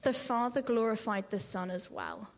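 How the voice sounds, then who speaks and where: A woman preaching a sermon in a measured way, with ums and restarts, sometimes dropping to a whisper on scripture. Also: fairly high pitch, huge pitch swings. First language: not Greek. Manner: slow, measured delivery with frequent ums and restarts.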